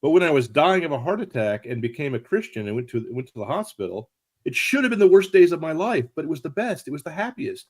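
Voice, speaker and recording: A man's voice: a little high, very animated, quick pace, a little mellow. Wry man holding forth emphatically. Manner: wry, emphatic and self-mocking. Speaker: guest author. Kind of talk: casual livestream conversation.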